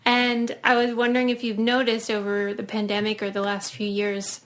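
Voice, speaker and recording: A woman's voice: mid-range voice, completely flat delivery, normal speed, a bit bright. A woman hosting a podcast, chatting casually and appreciatively with her guest. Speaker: podcast host. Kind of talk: casual interview chat.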